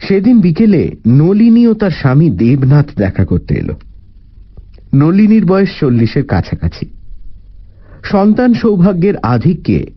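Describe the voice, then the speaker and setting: Expressive male narrator with very varied pitch. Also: a little slow, pauses often, highs cut off. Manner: expressive, theatrical storytelling. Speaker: story narrator. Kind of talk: dramatic scripted narration of a detective story.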